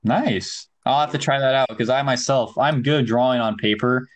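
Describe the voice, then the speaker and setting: A man's voice: medium pitch, normal speed. Laid-back young male podcast host. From Ohio. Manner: laid-back, casual slang. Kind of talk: casual chat.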